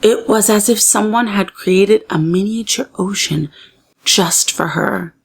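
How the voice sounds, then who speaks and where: A woman narrating a children's story aloud as a read-aloud storyteller, with expressive, deliberate phrasing meant for young listeners. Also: mid-range voice, gravelly, slightly rough.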